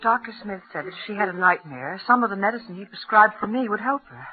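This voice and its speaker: A woman's voice: medium pitch, expressive, fast talker, close to an everyday voice. An actress playing a stern, insistent mother in old-time radio melodrama, theatrical and commanding. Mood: coaxing. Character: stern, insistent mother hiding behind sweet persuasion. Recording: tinny, band-limited old radio recording.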